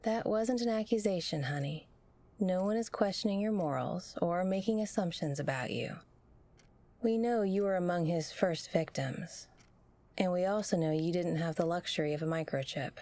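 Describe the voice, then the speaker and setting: An actress playing a calm, reassuring interviewer in an audio drama, gentle and measured. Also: female voice, fairly low pitch, lightly acted. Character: calm, reassuring female interviewer questioning a traumatized survivor.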